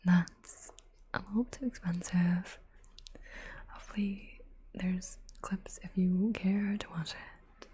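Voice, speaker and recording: A woman hosting an ASMR livestream, chatting softly to viewers in a breathy whisper with unhurried asides. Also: lowish voice, steady tone. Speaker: livestream host, ASMR artist.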